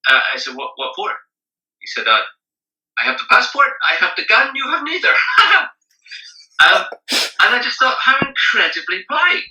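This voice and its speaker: A man with a Northern Irish accent telling casual anecdotes in a halting, wry way. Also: very high voice, sing-song, sharp tone. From Northern Ireland. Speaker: interview guest, travel writer and magazine editor. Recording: roomy, distant, slightly dull microphone.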